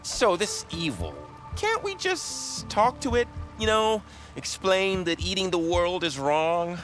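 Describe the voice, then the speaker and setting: Wildly expressive, anxious young adventurer. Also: male voice, high voice, takes it slowly, soft background music, full character voice. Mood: hopeful. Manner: hesitant, nervous. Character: earnest, anxious young adventurer eager to prove themself. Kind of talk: animated game voice acting.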